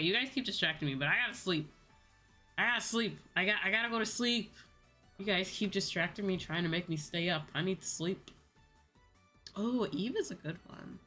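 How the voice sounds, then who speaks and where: A woman's voice: fairly low pitch, fairly bright tone. A young adult woman chatting casually on a livestream, informal and chatty, often repeating her phrases. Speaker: VTuber live streamer.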